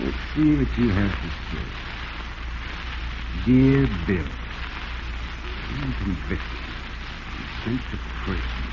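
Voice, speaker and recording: A man's voice: fairly low pitch, expressive, slow pace, long pauses. An actor playing a bitter, vengeful and unhinged male convict in an intense, theatrical 1940s radio melodrama style. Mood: gloating, sly. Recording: muffled, noisy, echoing vintage radio recording.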